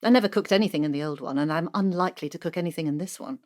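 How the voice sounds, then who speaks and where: A woman's voice: low-pitched, racing, darkish tone, lightly acted. An actress playing a cool, controlling wealthy wife in her forties, dry and clipped, in a naturalistic audio drama. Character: cool, wealthy, controlling middle-aged wife, dryly cutting.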